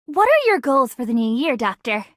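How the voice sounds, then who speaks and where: A keen young explorer girl from a game. Her voice is high and very lively, with an upbeat, friendly manner. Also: moderate pace, no echo, studio quality. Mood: eager. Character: eager, goal-driven young female explorer. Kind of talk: scripted game character greeting.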